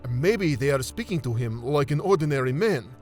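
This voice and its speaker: A man acting in an audio drama as an earnest, devout scholar, speaking deliberately and with dramatic emphasis. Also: mid-range voice, lively intonation, darkish tone, soft background music, lightly acted. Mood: fervent. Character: earnest Middle Eastern man, devout scholarly friend and host.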